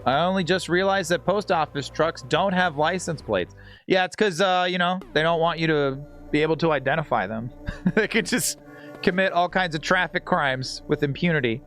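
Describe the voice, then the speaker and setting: A man's voice: fairly high pitch, moderate pace, a bit bright, soft background music. A man chatting casually while live-streaming a game, with slangy, profane, easygoing talk. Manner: informal, slangy and profane, laughs easily.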